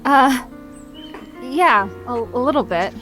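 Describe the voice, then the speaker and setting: A woman's voice: sits high, lively intonation, slow pace, fairly bright tone, faint music behind, full character voice. A woman playing a friendly, tender-hearted and slightly nervous young woman in an audio drama, speaking sincerely. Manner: hesitant, sincere. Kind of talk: audio drama character acting.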